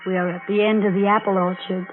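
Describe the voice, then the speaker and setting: A woman's voice: mid-range voice, flat delivery, fast talker, lightly acted. Casting: woman as coldly intense, menacing sister, clipped delivery, vintage radio thriller with music behind. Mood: menacing, jealous. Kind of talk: 1940s radio melodrama acting. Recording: tinny old radio recording with music.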